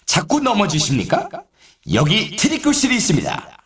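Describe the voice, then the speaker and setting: A man's voice: high-pitched, wildly swooping pitch, moderate pace, a crowd in the background, exaggerated voice. A man playing an upbeat, showy sports announcer in a video game, with polished, scripted commentary delivery. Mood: instructive, encouraging. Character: male snowboard race announcer, upbeat and showy. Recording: echoey, distant-sounding game audio.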